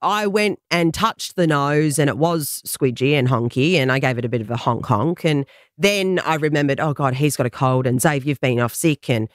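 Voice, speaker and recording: A woman in her thirties with an Australian accent, co-hosting a breakfast radio show and telling stories in casual, lively chat. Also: low-pitched, no echo, studio quality. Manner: lively storyteller, quick laughs. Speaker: radio co-host. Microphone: studio mic.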